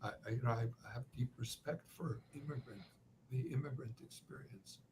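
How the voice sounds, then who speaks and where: A man from Canada with a slight Ukrainian accent, a writer and journalist giving measured, deliberate interview answers with frequent pauses. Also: medium pitch, background noise. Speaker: guest, author and journalist.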